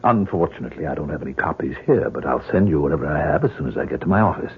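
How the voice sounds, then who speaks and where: A very deep-voiced American professor in an old radio play, courteous on the surface and quietly sinister beneath. He speaks in measured, polite phrases with a gravelly edge. The recording is muffled, with clear background noise. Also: animated, full character voice. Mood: courteous, guarded. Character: smooth, courteous, secretly sinister male professor. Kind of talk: old-time radio drama acting.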